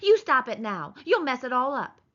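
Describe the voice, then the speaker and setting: Woman playing a vain, high-pitched young ranch wife. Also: lively intonation, a bit bright, lo-fi sound. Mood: angry, alarmed. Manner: folksy, complacent drawn-out speech. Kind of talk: audiobook character voice.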